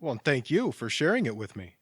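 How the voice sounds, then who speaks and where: An actor playing a wry but kindly stranger in an audio drama, conversational and dry-witted. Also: male voice, medium pitch, sing-song, a little fast, fairly bright tone, breathy edge, dry recording. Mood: gentle, wry. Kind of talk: audio theatre acting.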